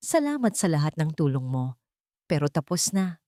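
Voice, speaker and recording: A woman's voice: sits low, sing-song, normal speed, mellow, dry recording, clean studio sound. A woman voicing a heartbroken, weary young woman with a sorrowful, pleading manner in an audio love story. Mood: listless.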